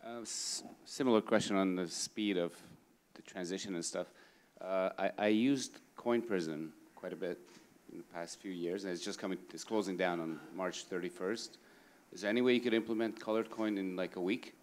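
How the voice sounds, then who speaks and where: A man with a low-pitched voice puts questions from the floor of a conference. His delivery is casual and conversational, and he presses his point persistently. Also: moderate pace. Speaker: audience questioner. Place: conference room audience.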